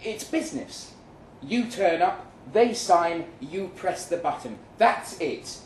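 A man performing a live short-story reading as a weary, jaded agent, speaking deliberately with dramatic pauses. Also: sits high, very animated, voice pushed up, heavily acted. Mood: tense, jaded. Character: weary, jaded male agent narrating his own story. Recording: roomy live venue, some noise.

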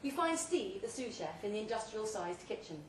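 A woman reads a short story aloud at a live event, delivering it theatrically in measured, deliberate phrases. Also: medium pitch, big pitch swings. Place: pub event room, read aloud live. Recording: roomy, distant live recording with faint noise.

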